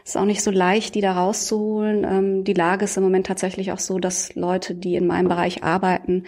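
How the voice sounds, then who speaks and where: A woman in her thirties answers interview questions at a brisk pace. She explains in long, fluent sentences and drops in small fillers as she thinks. Her voice sits at an everyday middle pitch. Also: monotone, a little mellow. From Iran, raised in Germany. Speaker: Iran researcher, guest.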